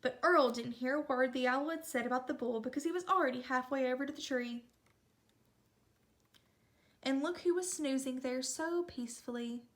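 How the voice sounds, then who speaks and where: High-voiced woman reading a children's story. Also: moderate pace. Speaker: storybook reader. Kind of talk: expressive children's read-aloud narration.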